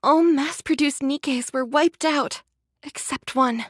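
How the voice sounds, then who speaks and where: A devout young female android in an anime-style game. Her high voice is polite and gentle. The recording is close, with no room sound. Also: a little slow, no room sound. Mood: grave.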